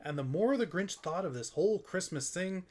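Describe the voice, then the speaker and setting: A man with a slightly higher than average voice reads a storybook aloud. His delivery is theatrical, leaning into the rhythm of the verse and pausing for drama. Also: lively intonation. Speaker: storybook reader on a holiday stream. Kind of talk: storybook read-aloud, scripted narration.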